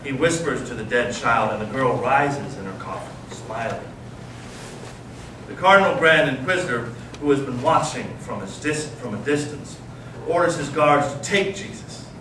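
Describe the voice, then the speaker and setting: A man playing an intense, anguished intellectual elder brother in a staged reading, swinging from hushed to impassioned. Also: mid-range voice, lively intonation, moderate pace, close to an everyday voice. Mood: hushed storytelling. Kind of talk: staged readers theater monologue and storytelling. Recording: echoey stage, distant mic, clear noise.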